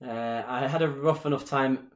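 A man's voice: mid-range voice, steady tone, normal speed. Mancunian-Irish man chatting on a webcam. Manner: chatty, gestures a lot while talking. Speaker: dance instructor answering viewer questions. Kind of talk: casual live stream chat. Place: small living room at home, indoors. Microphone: webcam at desk distance. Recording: roomy home webcam sound.

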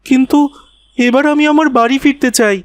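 Cartoon young boy, played by a man: very high, gentle, polite, closely recorded. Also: steady tone, brisk, dry recording, studio quality. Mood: weak, grateful. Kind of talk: cartoon voice acting.

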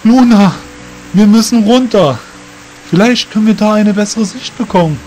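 A man's voice: high voice, normal speed, darkish tone, pitched above the performer's own voice, lightly acted. Man playing a friendly fantasy moth rider over music. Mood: urgent shouting. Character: friendly male moth rider, caring toward his mount. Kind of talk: amateur audio drama dialogue.

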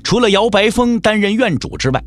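A man's voice: high-pitched, expressive, a little fast. A man narrating a martial-arts audio drama in a storytelling style. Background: soft background music.

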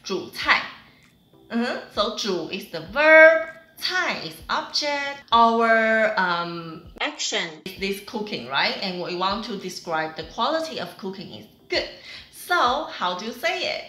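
A woman's voice: on the high side, slow pace, reverberant. A woman in her thirties from Taiwan with a Taiwanese accent, giving a cheerful online Mandarin lesson in English, which is not her first language, and talking with her hands. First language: Mandarin Chinese. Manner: cheerful, animated, gestures with both hands. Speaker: Chinese teacher. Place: small room with whiteboard, indoors.

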